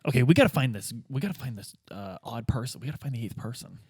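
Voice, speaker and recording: A man's voice: medium pitch, expressive, quick pace, darkish tone, breathy edge, no room sound, clean studio sound. A man chatting casually as a player in a tabletop role-playing game.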